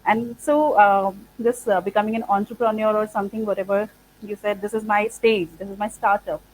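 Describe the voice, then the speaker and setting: A woman's voice: mid-range voice, fairly even intonation, fairly quick, a little hiss or hum, rough recording. A woman whose first language is Punjabi, a spoken English trainer, answering interview questions thoughtfully in English with many fillers like "like" and "uh". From India. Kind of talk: interview conversation.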